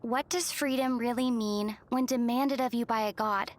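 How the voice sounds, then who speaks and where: Woman acting as young traveller heroine, high voice, gentle, thoughtful, measured game dialogue, music behind. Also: fairly even intonation, natural voice. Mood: musing.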